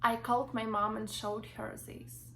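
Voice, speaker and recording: A young woman with a Ukrainian accent, reading her own story from notes in a halting way with many fillers and restarts. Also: on the high side, level tone, pushed and bright, soft background music. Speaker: vlogger.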